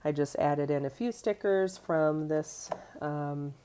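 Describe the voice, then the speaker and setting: A woman's voice: deep voice, takes it slowly, mellow, a little hiss or hum. A woman who is getting over a cold, chatting casually at home as she shows her planner, with fillers and small self-corrections. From United States. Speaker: vlogger. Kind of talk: casual show-and-tell. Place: home kitchen.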